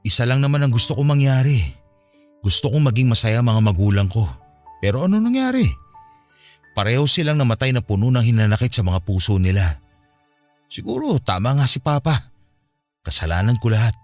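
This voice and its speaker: A man's voice: mid-range voice, sing-song, brisk, long pauses, a little mellow, faint music behind, lightly acted. An actor playing a grief-stricken, guilt-ridden young Filipino man in a radio drama, anguished and despairing. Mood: guilt-ridden sorrow. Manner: anguished, despairing, self-blaming.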